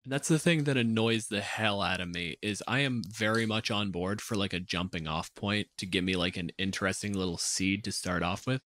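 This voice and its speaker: A man's voice: lowish voice, a little fast, hardly any pauses, fairly bright tone, no echo. A man chatting casually as a podcast panelist, analytical and opinionated in the way he talks. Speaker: podcast panelist discussing tabletop game monsters. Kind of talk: casual chat.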